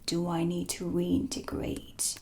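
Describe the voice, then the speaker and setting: A woman playing a mysterious, haughty dark witch who reads tarot, speaking in a deliberate, theatrical whisper for a cinematic ASMR roleplay. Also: lowish voice, very animated, slight background noise, exaggerated voice. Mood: stern, guiding. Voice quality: whispery, creaky. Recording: music under the whispered voice. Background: dark ambient music.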